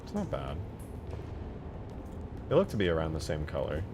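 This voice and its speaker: A man's voice: a bass voice, huge pitch swings, moderate pace, gravelly, music in the background. A man live streaming while he works, casually thinking aloud and muttering questions to himself. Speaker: game modding live streamer.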